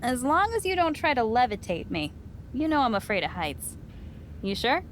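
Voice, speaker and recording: A woman's voice: fairly high pitch, very animated, normal speed, slight background noise. An actress playing a teasing but caring female wrestling promoter and loyal friend in a video game cutscene. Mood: playful.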